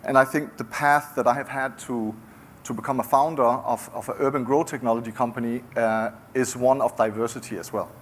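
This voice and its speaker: A man's voice: mid-range voice, steady tone, slight background noise. Danish-accented man giving a talk. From Denmark. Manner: conversational, halting with many restarts. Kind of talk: conference talk.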